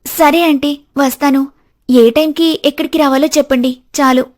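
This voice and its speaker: A woman storyteller puts on a chatty young woman with a high, lifted voice. She is eager and helpful, as in a cartoon moral story. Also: steady tone, moderate pace, a little mellow, close to an everyday voice. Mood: bright, friendly. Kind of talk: animated moral story dialogue.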